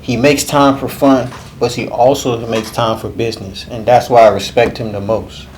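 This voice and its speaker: A man's voice: mid-range voice, fairly even intonation, moderate pace, darkish tone, a little hiss or hum, rough recording. Laid-back man hosting a podcast. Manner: laid-back and affirming, with frequent "man" and "mm-hmm". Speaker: podcast host. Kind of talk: casual interview hosting.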